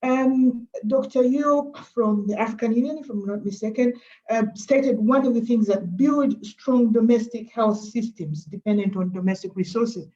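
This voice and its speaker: A woman's voice: a little high, moderate pace, warm tone. Woman asking the panel stuff, earnest, muffled call audio. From Uganda. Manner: earnest, searching questions. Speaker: audience member asking a question. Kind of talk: webinar question. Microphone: video call.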